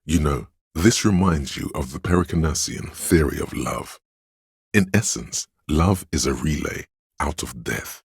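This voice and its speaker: Game inner-voice role: encyclopedic know-it-all man, extremely low and very smooth, resonant, measured, faintly condescending. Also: animated, no echo, clean studio sound, full character voice. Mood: musing. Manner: measured, scholarly, dryly condescending. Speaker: game narrator. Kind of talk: scripted game narration.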